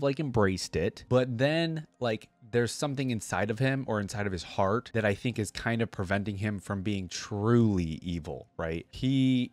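A young man with a fairly low voice speaks close to the mic in a dry indoor setup. He moves between expressive reading of story lore and casual, conversational commentary as a reaction host. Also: dry recording. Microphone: close desk microphone on a boom arm.

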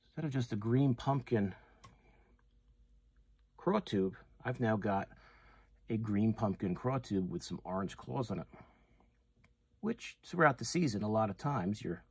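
A man's voice: fairly low pitch, animated, moderate pace, long pauses, darkish tone, breathy edge, dry recording. A man, hosting a fishing channel and chatting casually through a hands-on demonstration, full of fillers and restarts. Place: tackle shop or room with walls of packaged lures, indoors.

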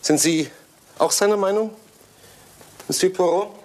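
An actor playing an anxious, earnest, protective young husband in a German radio crime drama. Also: male voice, high voice, measured pace, background noise. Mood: indignant.

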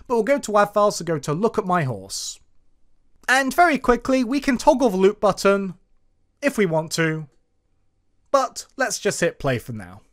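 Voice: medium pitch, sing-song, normal speed. A tutorial presenter narrating a coding screencast in a casual, explanatory manner. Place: voice-over for a screen recording.

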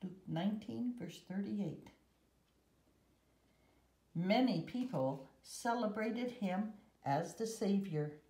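A woman in her seventies reading a children's picture book and scripture aloud, carefully and in measured short phrases. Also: mid-range voice, animated, warm tone. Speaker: guest story reader. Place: room at home. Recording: roomy echo.

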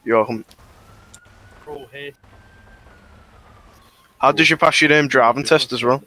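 A man's voice: mid-range voice, quick pace, bright, forceful tone, lightly acted. A British man playing a mouthy suspect under arrest in an online roleplay game, blunt, slangy and mocking. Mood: cheeky, mocking. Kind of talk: casual online police roleplay improvisation.

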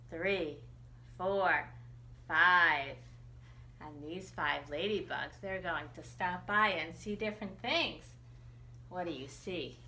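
A middle-aged woman reading a picture book for children, expressive and putting questions to young listeners. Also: fairly low pitch, reverberant. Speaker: children's librarian, storytime reader. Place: small room indoors.

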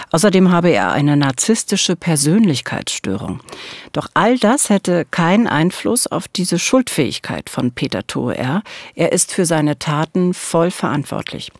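A woman's voice: sits low, no echo. A middle-aged woman speaks as a true-crime podcast host. Her delivery moves between scripted storytelling and conversation, recorded close and very dry in a studio. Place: small dark podcast studio. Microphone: close studio microphone with headphones.

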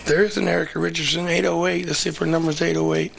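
A man with a hoarse voice giving chatty, rambling play-by-play at an outdoor track meet for local TV. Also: a little high, flat delivery, fast, fairly bright tone. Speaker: local TV commentator. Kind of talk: casual play-by-play commentary.

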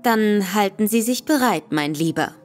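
A woman's voice: mid-range voice, animated, normal speed, soft background music, close to an everyday voice. An actress playing a sly, polite young woman with a coy manner in an audio drama. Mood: cool, assured. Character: coy, sly young woman feigning innocent servant-girl respectability.

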